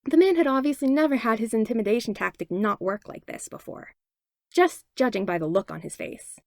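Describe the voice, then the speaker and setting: A woman narrating a fanfiction audiobook in an expressive read-aloud manner on a close mic. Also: on the high side, a little fast, no room sound. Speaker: fanfiction podfic reader. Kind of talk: storybook narration.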